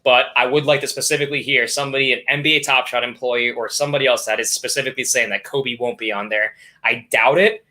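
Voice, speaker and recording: A man's voice: mid-range voice, fairly even intonation, fast talker, hardly any pauses, pushed and bright. A young man from South Florida, a guest streamer talking casually and upbeat with lots of fillers. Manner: easygoing, filler-heavy, upbeat. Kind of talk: casual live stream chat.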